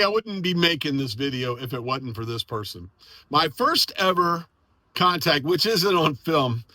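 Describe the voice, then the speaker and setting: A man's voice: fairly high pitch, sharp tone, no echo. An American man chatting casually and enthusiastically as an amateur radio operator and video host. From United States. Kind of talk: casual storytelling and on-air radio chat.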